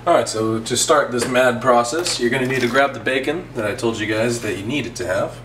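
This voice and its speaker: A man's voice: medium pitch, fairly quick. A young man hosting a spoof cooking show, recorded on a camera mic set back from him in a home kitchen. His voice bounces around the room and carries a layer of hiss. He tells ridiculous stories about the food with a straight face. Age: young adult. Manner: deadpan, absurd tall tales told straight. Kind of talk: mock cooking show, deadpan parody. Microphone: camera mic from a few feet away. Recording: echoey kitchen, distant camera mic, noisy.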